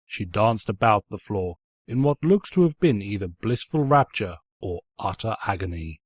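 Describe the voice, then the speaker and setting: A man's voice: fairly low pitch, lively intonation, normal speed, dry recording. A man reading a story as a theatrical narrator, mock-pompous and sardonic. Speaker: narrator, fan fiction reader.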